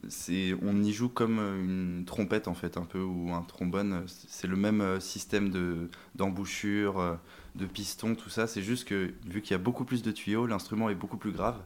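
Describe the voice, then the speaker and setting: Deep-voiced hesitant young man. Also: steady tone, normal speed, a little mellow. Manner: hesitant, many fillers and restarts. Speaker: band member, sousaphone player. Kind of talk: interview conversation.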